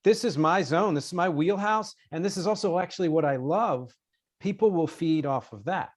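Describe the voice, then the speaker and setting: A man with a slightly high voice speaks as an interviewer. His delivery is conversational and reflective, offering thoughtful, affirming remarks. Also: normal speed. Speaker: interviewer and music show host. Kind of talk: casual, reflective interview chat.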